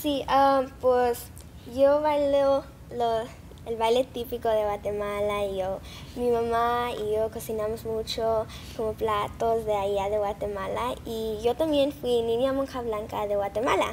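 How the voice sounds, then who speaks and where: A young woman answers in short, shy replies on a studio set, her voice a bit high. A faint ambient hiss stays low behind her. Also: steady tone, measured pace, a little mellow. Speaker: guest. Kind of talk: interview answers.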